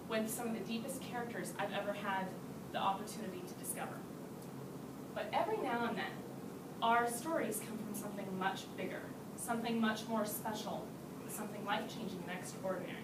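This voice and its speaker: A young woman, a student and writer, giving an earnest prepared speech to a class with rhetorical questions. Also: medium pitch. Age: young adult. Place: classroom, recorded from a distance. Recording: roomy, distant, loud background noise.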